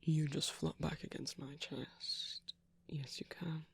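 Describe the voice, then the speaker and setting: A man playing a soft, caring vampire boyfriend in an intimate ASMR roleplay, speaking gently with pauses. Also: on the high side, little pitch movement, heavily acted. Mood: soothing, coaxing. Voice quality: soft, breathy.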